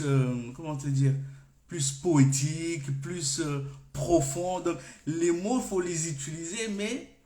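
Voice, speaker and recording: A man's voice: mid-range voice, a little mellow. Man coaching in an echoey room. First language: Comorian. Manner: thinks aloud, informal, stops and restarts phrases. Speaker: personal development coach. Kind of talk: unscripted coaching talk to camera.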